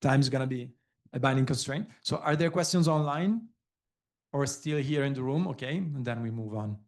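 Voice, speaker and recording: Guy running a panel, polite but moving things along. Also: mid-range voice. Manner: brisk, polite, keeps time. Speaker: moderator. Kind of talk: panel moderation. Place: conference auditorium.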